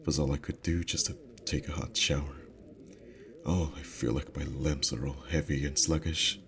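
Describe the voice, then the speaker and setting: Male actor as sweet teasing boyfriend, extremely deep pitch, soft unhurried delivery, intimate ASMR roleplay, background music. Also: fairly even intonation, creaky, a crowd in the background. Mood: relaxed, weary.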